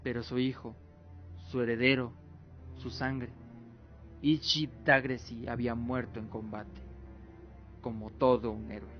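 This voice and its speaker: A man's voice: medium pitch, flat delivery, unhurried, pauses often. A man narrating a scripted fantasy radio drama in a solemn storytelling manner. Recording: dull lo-fi audio with music behind. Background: music.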